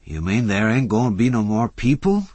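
A man's voice: lowish voice, sing-song, moderate pace, darkish tone, slightly rough, no echo, pitched above the performer's own voice. A man voicing a plain-spoken, blunt small-town bar owner with folksy speech. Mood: puzzled.

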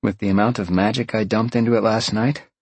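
A man's voice: lowish voice, fairly even intonation, brisk, darkish tone, husky, no echo, voice pushed up. A man voicing a confident, protective woman of magic in an audiobook.

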